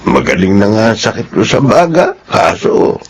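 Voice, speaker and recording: A man's voice: fairly low pitch, wildly swooping pitch, moderate pace, slightly rough, exaggerated voice. An actor playing an ailing, concerned older father in a radio drama, speaking apologetically. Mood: apologetic, downcast.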